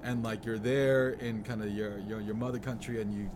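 A man's voice: lowish voice, flat delivery, moderate pace, a little mellow, a little hiss or hum. A man from the US East Coast hosting a casual conversation in an informal, slangy, earnest way. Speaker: podcast host.

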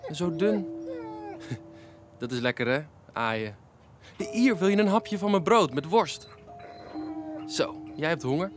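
An actor playing a kind, eager rookie fireman in a children's radio play, gently cooing and coaxing as to a dog. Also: on the high side, animated, takes it slowly, long pauses, soft background music. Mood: gentle, caring.

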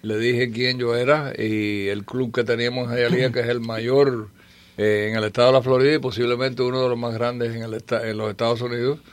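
A man talks as a guest on a radio show. His voice is mid-pitched and sounds dry and close to the mic, and he speaks in a measured, proud way. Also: flat delivery, no echo. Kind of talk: casual radio conversation.

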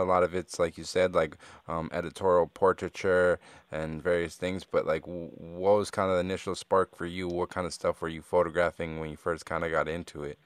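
Deep-voiced laid-back podcast host. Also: male voice, no room sound. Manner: laid-back, curious, heavy use of "like" and "kinda". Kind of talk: casual interview conversation.

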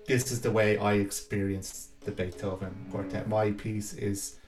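Male composer, interview register: low-pitched and level, thoughtful and tentative, with faint music underneath. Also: flat delivery, a little slow. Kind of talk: reflective interview talk about own work. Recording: roomy echo, faint music behind.

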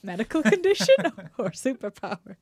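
A woman's voice: on the high side, big pitch swings, moderate pace, a little mellow, husky, no room sound, studio quality. A woman co-hosting a casual film review podcast, laughing often as she gushes over films and rants about actors. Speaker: podcast co-host.

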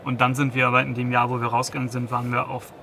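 A man's voice: medium pitch, completely flat delivery, rapid-fire, bright, forceful tone, a little hiss or hum. A man in his thirties, a company founder, giving a business interview, hesitant, with many restarts and fillers. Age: 30s.

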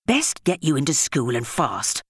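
A woman's voice: rumbling low, very animated, unhurried, vocal fry, a bit bright, slightly rough, dry recording, studio quality, full character voice. A woman voicing a pompous, bossy, self-important middle-aged man in an audiobook story. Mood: scolding, bossy. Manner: breathless spluttering and puffed-up boasting.

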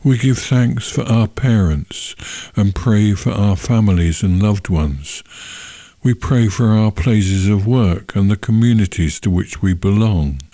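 A man leading a church service, giving a short sermon and prayers in measured, solemn phrasing. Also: lowish voice, mellow, dry recording.

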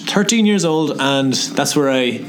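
A man's voice: mid-range voice, expressive, unhurried. A man in his twenties with an Irish accent, a radio presenter chatting as a guest in a modest, laid-back way. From Ireland. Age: 20s. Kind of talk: casual interview chat.

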